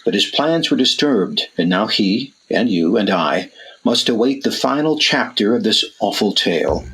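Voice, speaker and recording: An actor playing a weary gentleman narrator, telling an adventure tale formally in a radio play. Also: fairly low pitch, very animated, moderate pace, husky, heavily acted, rough recording. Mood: weary, foreboding. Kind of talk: scripted dramatic first-person narration.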